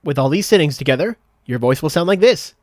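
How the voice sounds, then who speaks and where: A man's voice: a little high, lively intonation, fast talker, dry recording. Dry, methodical male tutorial narrator. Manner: methodical, explanatory. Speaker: software tutorial host. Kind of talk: step-by-step instructional voice-over.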